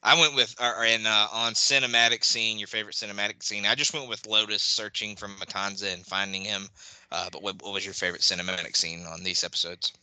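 Deep-voiced man hosting a podcast. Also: fast, smooth, no room sound, poor audio. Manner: relaxed, many fillers, hands off questions to the guest. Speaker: podcast host. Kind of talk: casual podcast chat.

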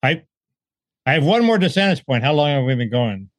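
A man's voice: medium pitch, big pitch swings, a little fast. A man with a mid-range voice talking politics in a wry, joking way and laughing between his points. The room is dry and close, with no echo around him. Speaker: podcast co-host. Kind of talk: casual political chat.

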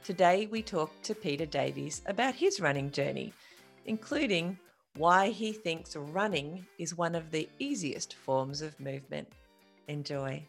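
A woman with a strikingly low voice, hosting a podcast. She asks questions in a chatty, encouraging way. Her voice echoes around the room, with faint music behind it. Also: a little slow, vocal fry. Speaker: podcast host.

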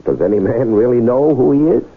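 An actor playing a theatrical, persuasive con man in an old radio drama, with a husky voice. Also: mid-range voice, expressive, fairly quick. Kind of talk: 1970s radio drama acting. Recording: tinny old radio broadcast.

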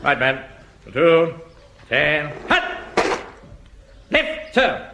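Pompous English Home Guard captain, blustering and high-voiced, big swings in pitch, noisy old radio comedy recording. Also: male voice, wildly swooping pitch, extremely slow, creaky, heavily acted. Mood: commanding. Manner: pompous, barking orders, blustering. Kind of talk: British radio sitcom acting.

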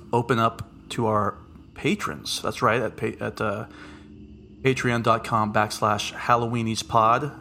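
Deep voiced guy hosting a podcast, goofy and rambly, middle pitch, light hiss behind. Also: vocal fry, bright, forceful tone. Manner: jokey, rambling, with frequent asides. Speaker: podcast host. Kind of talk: casual podcast chat.

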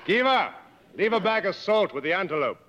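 Dull old radio recording, faint noise, weathered jungle guide, didactic, dry, world-weary. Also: male voice, high-pitched, very animated, fairly quick, fairly bright tone. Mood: brisk, calm. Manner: knowing, didactic, dryly fatalistic. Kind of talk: mid-century American radio drama acting.

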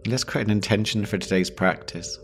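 A man with a low voice leads a guided deep rest meditation. He speaks slowly and gently, leaving long pauses between short phrases. Meditation music with singing bowls plays behind him. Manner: slow, pausing, gentle instruction. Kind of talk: guided yoga nidra meditation.

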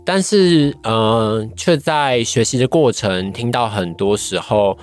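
A woman's voice: very deep voice, takes it slowly, a little mellow, soft background music. A woman with a Taiwanese Mandarin accent, a podcast guest giving a casual personal account and often searching for words. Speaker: podcast guest, voice acting trainee.

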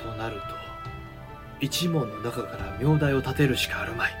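A man acting a sober, authoritative samurai regent in a voice drama, formal, firm and measured. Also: medium pitch, music in the background, close to an everyday voice. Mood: grave. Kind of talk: voice drama acting in period Japanese.

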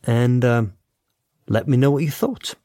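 A man's voice: medium pitch, a little slow, warm tone, no room sound. Man presenting scripted promo, close and dry. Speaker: podcast creator presenting a sponsor message.

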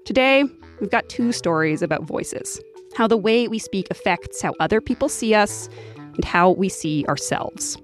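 A woman's voice: medium pitch, animated, normal speed, faint music behind. A woman hosting a podcast, telling stories in conversational, scripted narration. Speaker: podcast host.

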